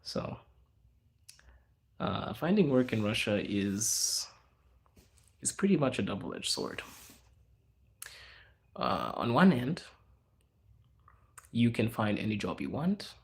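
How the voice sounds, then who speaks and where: A young man hosting a casual livestream, answering chat in a hushed inside voice because people are around him. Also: medium pitch, big pitch swings, slow, pauses often. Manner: speaking quietly in an inside voice, laid back. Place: out in public in a city, people around.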